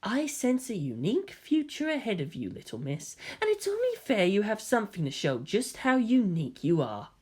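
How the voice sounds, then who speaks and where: A woman voicing a coy, androgynous hybrid youth with genteel, gently teasing politeness and quiet menace. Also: mid-range voice, wildly swooping pitch, moderate pace, few pauses, husky. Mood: gentle, gracious.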